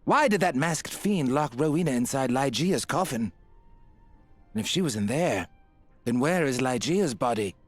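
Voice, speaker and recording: A man's voice: mid-range voice, expressive, moderate pace, no room sound, faint music behind, natural voice. A man playing an anxious, devoted nobleman in a video game, speaking with earnest, melodramatic urgency. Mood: troubled, urgent. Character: anxious, devoted nobleman fearing for his wife. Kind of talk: theatrical game cutscene acting.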